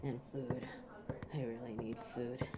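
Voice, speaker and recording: A woman's voice: extremely low pitch, flat delivery, takes it slowly, heavy background noise. A woman playing a defiant, hot-tempered, sarcastic female werewolf who protects her turf in an audio drama. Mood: weary, irritated. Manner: sardonic, taunting. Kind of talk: dramatic audio roleplay acting.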